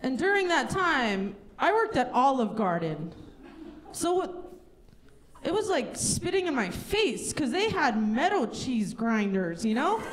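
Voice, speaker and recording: A woman's voice: sits high, big pitch swings, unhurried, a little hiss or hum, poor audio. A woman from Russia doing stand-up comedy on stage, telling stories in a conversational, sarcastic way. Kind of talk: stand-up comedy before a live audience. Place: live comedy showcase at a lodge venue.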